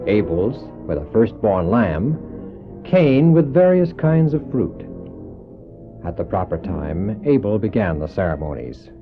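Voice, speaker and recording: An actor as the solemn storyteller, giving scripted dramatic narration for a Bible audio drama. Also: male voice, lowish voice, sing-song, a little slow. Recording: narrow-band tinny old cassette. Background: music.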